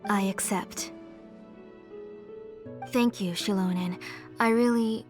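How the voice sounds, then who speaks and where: A woman playing a caring but stern older auntie in a video game, measured and earnest. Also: mid-range voice, steady tone, music in the background, close to an everyday voice. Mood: solemn. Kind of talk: video game dialogue acting.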